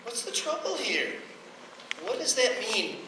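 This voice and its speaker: A man's voice: extremely high pitch, sing-song, measured pace, bright, forceful tone, full character voice. A man playing an earnest, helpful announcer reasoning insistently in an old-time radio comedy. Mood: earnest, coaxing. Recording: echoey room, distant mic, faint noise.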